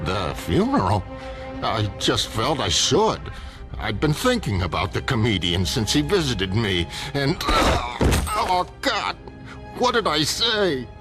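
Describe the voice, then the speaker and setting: A man's voice: medium pitch, big pitch swings, slow pace. A man playing a frightened elderly retired villain, stammering and pleading in a motion comic. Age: older. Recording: music behind the voice.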